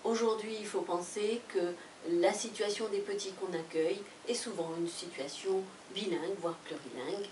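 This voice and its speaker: A woman's voice: medium pitch, moderate pace, few pauses, darkish tone, a little hiss or hum. A woman whose first language is Spanish, presenting a book as director of an association, speaking hesitantly with many fillers and restarts. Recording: echoey room from far off.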